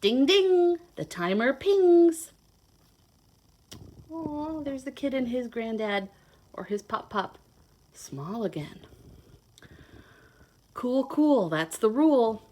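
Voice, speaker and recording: A woman reading a children's picture book aloud in a playful, expressive, sing-song way, adding simple asides to her young listeners. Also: high-pitched, slow, long pauses. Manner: simple child-directed explaining. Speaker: children's read-aloud host, teacher.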